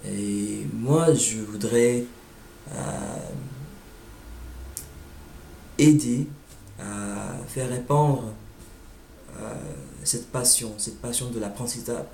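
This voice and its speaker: American man, native English speaker, unhurried and hesitant, slightly low voice, roomy sound. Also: very animated, vocal fry, mellow, slight background noise. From United States. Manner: hesitant, searching for words with many "euh" fillers. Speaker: language learner sharing his experience. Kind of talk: casual monologue.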